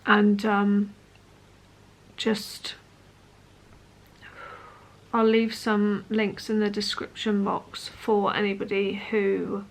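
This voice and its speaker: A woman in her late twenties talking personally to camera as a vlogger, in an earnest, hesitant, halting way. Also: medium pitch, gravelly. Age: late 20s.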